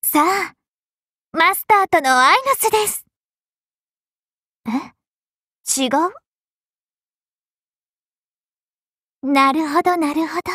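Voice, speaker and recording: A woman's voice: extremely high pitch, pushed and bright, no room sound, clean studio sound, full character voice. A woman voicing a lovestruck, clingy young maiden in an anime mobile game, very polite, coy and devoted. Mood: doting, insistent.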